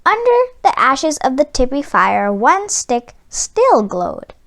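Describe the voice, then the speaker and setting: A woman reading a children's story aloud as scripted, expressive narration. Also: high-pitched, takes it slowly. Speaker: storybook reader.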